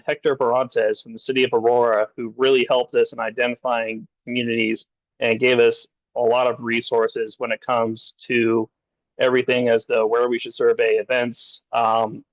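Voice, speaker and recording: A man's voice: medium pitch, completely flat delivery, normal speed, no room sound, lo-fi sound. A young man in his twenties, a graduate student wrapping up a presentation and reading through lists with fillers. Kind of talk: presentation wrap-up.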